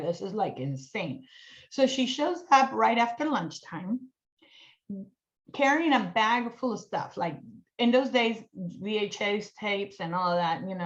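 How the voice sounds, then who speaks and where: A woman in her forties from Colombia with a Colombian accent, a guest telling her story in an animated, self-mocking way over a video call. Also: mid-range voice, highs cut off. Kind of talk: storytelling in a casual online chat.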